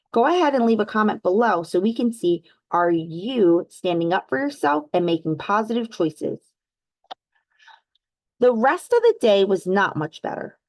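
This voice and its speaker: A woman hosting a children's read-aloud, telling a storybook expressively with drawn-out emphasis and putting teacherly questions to young listeners. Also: mid-range voice, no echo. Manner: teacherly, addresses listeners as friends; animated, exaggerated storytelling emphasis with drawn-out words.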